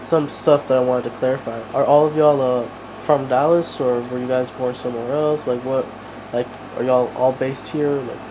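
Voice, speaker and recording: A man conducting a relaxed interview at a mid pitch, with filler words throughout. An air-conditioning hum runs clearly under his voice. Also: moderate pace, few pauses. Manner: relaxed, with lots of "like" and "y'all". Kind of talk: casual interview questions.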